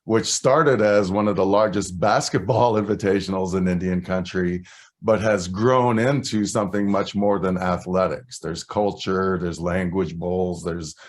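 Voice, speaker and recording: A man on an online panel, answering an audience question in a thoughtful, measured way. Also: sits low, steady tone.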